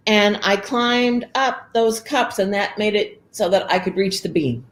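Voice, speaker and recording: A woman voicing a scared, quick-witted little girl who stammers and hesitates. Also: mid-range voice, pitched above the performer's own voice, natural voice. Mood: stammering. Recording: roomy echo.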